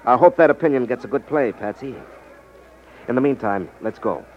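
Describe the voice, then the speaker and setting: An American actor playing a cool, shrewd, world-weary detective in a 1950s radio drama. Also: male voice, mid-range voice, moderate pace, lightly acted. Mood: cool, grim. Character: cool, shrewd male ex-magician rackets detective. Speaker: radio drama lead actor, narrating and in scenes. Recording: muffled, noisy 1950s radio recording.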